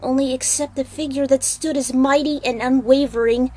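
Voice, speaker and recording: A woman's voice: high-pitched, fairly even intonation, moderate pace, a little mellow. A woman reading as author and narrator, in a dramatic storytelling style that loosens into casual asides. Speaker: fan fiction author and narrator.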